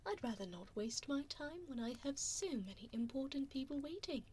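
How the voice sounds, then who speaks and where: A woman playing a cruel, haughty vampire hostess in an ASMR roleplay, speaking in breathy whispers and soft voice with condescending, formal diction, close to the microphone. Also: high voice, lively intonation, normal speed, a little hiss or hum. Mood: smug, haughty. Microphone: close binaural microphone.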